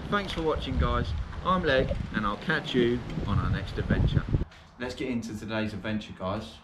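A man's voice: mid-range voice, normal speed. A man in his thirties vlogging to camera outdoors by an old barn, chatty and addressing viewers as guys. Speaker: urban explorer vlogger. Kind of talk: casual vlog to camera. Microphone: camera mic at a distance. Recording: loud outdoor noise.

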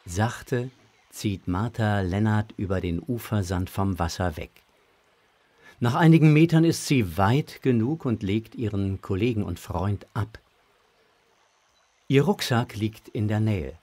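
A man's voice: lowish voice, expressive, a little slow, mellow. A man narrating a scripted audio drama for children.

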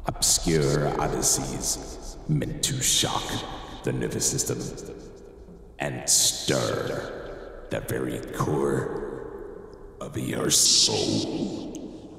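A macabre horror host speaks extremely slowly in a hugely echoing space. The delivery is grand, ominous and theatrical, like a storyteller introducing a fright tale. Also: male voice, very deep voice, big pitch swings, gravelly, a bit bright, full character voice. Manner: theatrical, slow, portentous. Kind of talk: scripted horror narration. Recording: cavernous echo, long reverb, faint noise.

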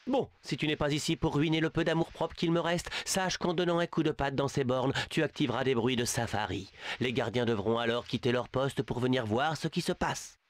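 A man's voice: medium pitch, fairly quick, hardly any pauses, no echo, close to an everyday voice. A man voicing a touchy male bird ashamed of his big beak, sarcastic and self-pitying, in a video game dub. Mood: sulky.

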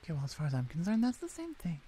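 A woman voicing a smug, teasing orc shaman in an ASMR roleplay, soft-voiced and evasive. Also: on the low side, huge pitch swings, rapid-fire, slight background noise. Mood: sly, dismissive.

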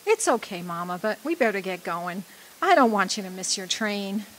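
A woman playing a loud, brassy, flashy dead woman, wry, defiant and flirtatious, in a radio drama. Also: mid-range voice, sing-song, normal speed, lightly acted, poor audio. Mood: gentle, brisk.

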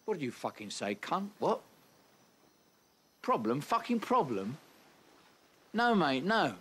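An actor playing a volatile, foul-mouthed gangster who swears and threatens aggressively. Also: male voice, a little high, sing-song, slow pace, sharp tone, husky, full character voice. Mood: furious.

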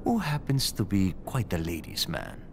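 A man's voice: sits low, very animated, moderate pace, gravelly, slight background noise, heavily acted. A man playing a cynical, flippant ex-cop and ladies' man in a video game cutscene. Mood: wry, casual. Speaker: former police officer.

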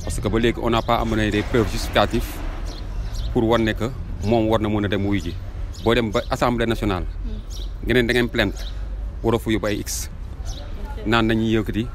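A middle-aged man with a Senegalese accent giving an impassioned street opinion, emphatic and full of rhetorical questions. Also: fairly low pitch. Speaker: street interviewee. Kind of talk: impassioned vox pop opinion, mixing two languages. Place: outdoors in a city street. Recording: outdoor street ambience and traffic.

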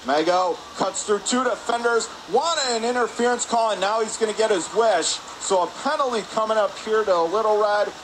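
A man's voice: high-pitched, normal speed, few pauses. A man calling live hockey play-by-play for radio from an indoor rink. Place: spectator area of an indoor ice rink. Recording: noisy arena hum behind the voice.

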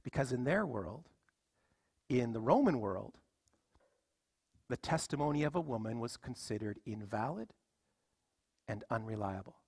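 A man's voice: mid-range voice, expressive, unhurried, pauses often, darkish tone, slightly rough, no room sound, clean studio sound. A man in his thirties preaching a sermon from a church stage, emphatic and full of rhetorical questions. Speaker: pastor. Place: church stage with music stands.

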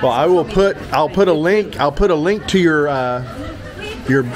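A man's voice: fairly high pitch, moderate pace, a crowd in the background. A man in his fifties with an American accent, chatting casually as a vlog host in a joking, rambling, informal way. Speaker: vlogger and host. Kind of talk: casual handheld vlog chat. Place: tiled room and open-fronted home shop. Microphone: handheld action camera at arm's length. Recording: noisy handheld camera audio.

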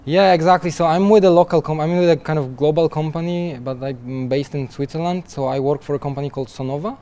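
A man's voice: on the high side, fairly even intonation, quick pace, dark tone, clear, resonant tone. A young man being interviewed at a conference booth, chatting freely with frequent restarts and repeated words and light laughs. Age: young adult. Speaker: guest software developer. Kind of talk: conversational interview answers.

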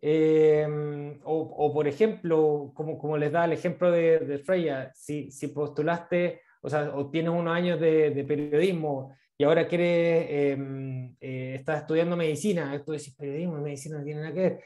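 Man, 30s, Chilean accent, raised pitch, fast, filler-heavy, video call earphone mic. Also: dull audio. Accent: Chilean Spanish. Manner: fast, repeats words, many fillers, animated. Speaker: guest speaker on international internships. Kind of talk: casual advice in an online talk. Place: small room indoors.

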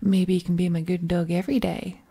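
A woman voicing an affectionate, teasing witch girlfriend in an intimate ASMR roleplay, soft and breathy, often slipping into whispers, with tender, playful cooing. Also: lowish voice, level tone, fairly quick. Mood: playful, doting. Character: affectionate, teasing witch girlfriend soothing her werewolf partner.

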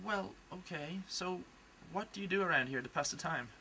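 A woman's voice: fairly low pitch, normal speed, pushed and bright, slightly rough, exaggerated voice, rough recording. A young woman playing a bewildered dead grandson ghost, squealing when upset. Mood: uneasy. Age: young adult. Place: large private home.

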